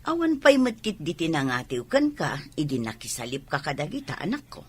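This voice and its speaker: Woman acting protective ilocano mom, rough husky voice, very lively pitch, audio drama. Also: brisk, exaggerated voice. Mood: concerned. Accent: Filipino, Ilocano. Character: protective, proud middle-aged mother, plain-spoken and hard-working.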